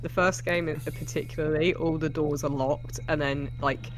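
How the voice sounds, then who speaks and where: A man's voice: on the high side, steady tone, moderate pace, low-quality recording. A man co-hosting a casual movie reaction chat, explaining things in a wry way. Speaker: reaction show co-host. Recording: music and film audio behind voice. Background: film soundtrack music.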